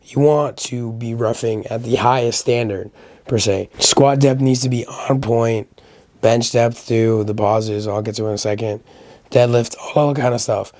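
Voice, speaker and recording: A man hosting a casual solo video commentary, giving opinions conversationally, his voice a little raspy as he gets over a sickness. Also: mid-range voice, fairly even intonation, measured pace, a little mellow, dry recording. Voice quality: slightly raspy. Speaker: host of a powerlifting recap channel.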